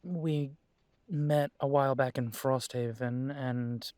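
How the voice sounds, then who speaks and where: A man playing an earnest young adult werewolf son in an audio drama, talking in a measured, steady way. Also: mid-range voice, no echo. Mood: insistent. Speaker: audio drama creator and lead voice.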